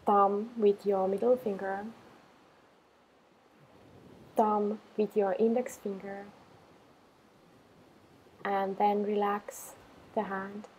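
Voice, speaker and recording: Slow, mellow woman guiding meditation. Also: medium pitch, a little hiss or hum, poor audio. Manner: calm, unhurried, gentle pauses. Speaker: meditation and yoga teacher. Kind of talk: guided meditation, slow instructions.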